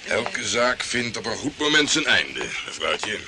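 A man's voice: medium pitch, big pitch swings, measured pace, background noise. An actor playing a brash, self-important hard-boiled male private detective in a radio play, blustering and condescending. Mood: cocky.

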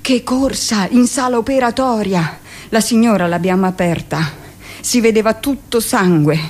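An actress playing a callous, self-justifying middle-aged midwife in a radio monologue, talking in a chatty, matter-of-fact, scornful way. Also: female voice, medium pitch, normal speed, a little mellow, close to an everyday voice. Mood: matter-of-fact, uneasy.

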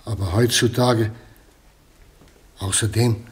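Slow, doubtful pious onlooker. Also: male voice, fairly low pitch, fairly even intonation. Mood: doubtful, polite. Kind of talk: German radio play acting.

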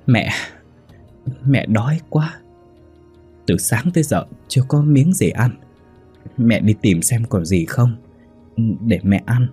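Timid old mother for an audio drama, played by a man: husky, choked, trembling and submissive. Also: medium pitch, lively intonation, slow, long pauses, dark tone, faint music behind, full character voice. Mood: meek, tearful.

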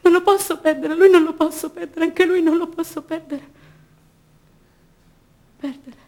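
High-voiced, emotionally raw young mother. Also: fairly even intonation, a little slow, darkish tone. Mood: anguished, pleading. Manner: theatrical, repetitive, emotionally raw delivery. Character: anguished young imprisoned mother, defiant, tender, fearful. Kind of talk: radio theatre monologue.

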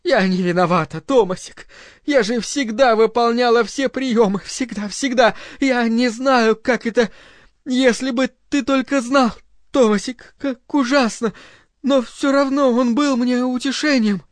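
An aging, desperate woman from an audiobook, acted out by a man, pitched high and trembling. She pleads and clings, coquettish and tearful, in a close, dry recording. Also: lively intonation, slow, no echo, full character voice. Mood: sobbing, pleading.

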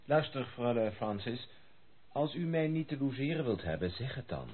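An actor playing a polite, self-assured young gentleman in a Dutch radio play, formal, composed and gently insistent. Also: mid-range voice, very animated, normal speed, natural voice. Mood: firm, slightly piqued. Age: young adult. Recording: tinny, noisy old radio play recording.

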